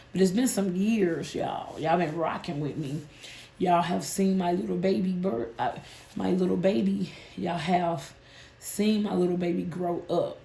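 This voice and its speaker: A woman, hoarse and a bit nasal from a sinus illness, chatting casually with her viewers about her budget. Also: lowish voice, mellow, roomy sound. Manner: chatty, addresses viewers as "y'all". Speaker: budgeting channel host.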